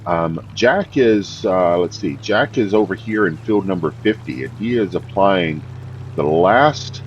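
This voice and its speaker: A man hosting a farming game, talking casually in a deep morning voice, easygoing and rambling with many fillers. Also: lively intonation, measured pace, lo-fi sound, a little hiss or hum. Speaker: game host. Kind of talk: casual gameplay commentary.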